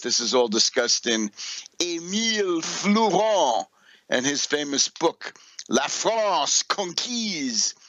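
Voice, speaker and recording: Higher-pitched man, deliberate political commentator. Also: pushed and bright, dry recording, poor audio. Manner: deliberate, emphatic, with pointed sardonic phrasing. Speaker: radio commentator and host. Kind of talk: extemporaneous political and historical commentary.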